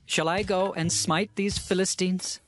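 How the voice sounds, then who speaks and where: A man's voice: high voice, steady tone, unhurried, a bit bright, faint music behind. A man playing an earnest, protective young fugitive leader in a scripture drama, speaking fervently and formally. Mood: devout.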